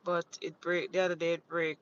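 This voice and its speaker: A woman's voice: lowish voice, level tone, fairly quick, dull audio. A woman from Jamaica, chatting casually on a livestream, rambling and repeating herself as she greets viewers from inside a vehicle. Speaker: livestream host. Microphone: phone mounted on dashboard.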